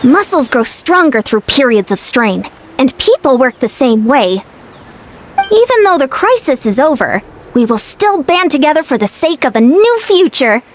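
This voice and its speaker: A woman's voice: high voice, big pitch swings, normal speed, a little hiss or hum. A woman playing a confident, friendly, fitness-minded warrior in a video game, upbeat and coach-like. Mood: encouraging, upbeat. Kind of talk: video game cutscene acting.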